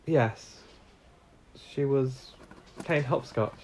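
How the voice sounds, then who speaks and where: A woman's voice: very deep voice, very slow, darkish tone, heavily acted, low-quality recording. A woman playing a worried, grieving parent of a missing child in an audio drama. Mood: anxious, sorrowful. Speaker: actor in an audio play. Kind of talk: naturalistic audio drama acting.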